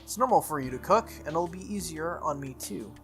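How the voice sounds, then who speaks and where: A man's voice: fairly high pitch, animated, brisk, fairly bright tone. A man voicing an earnest, easygoing teenage boy in a visual novel, plain-spoken and conciliatory. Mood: agreeable, hesitant. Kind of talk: visual novel character reading. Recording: music playing behind the voice.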